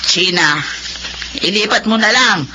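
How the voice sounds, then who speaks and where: A woman's voice: medium pitch, lively intonation, a little slow, background noise, lightly acted. An actress playing a meddling, bossy older mother-in-law in a radio-style drama. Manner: bossy, insistent. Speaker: Hill's mother.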